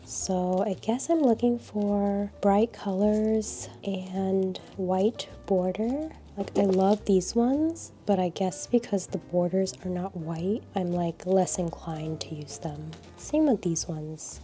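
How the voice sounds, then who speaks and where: A woman with a slightly scratchy voice from a cold, chatting casually and unhurriedly in a low-energy voice-over about her craft supplies. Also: slow pace, hardly any pauses, dark tone, soft background music. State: a little sick, scratchy voice. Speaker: art vlogger.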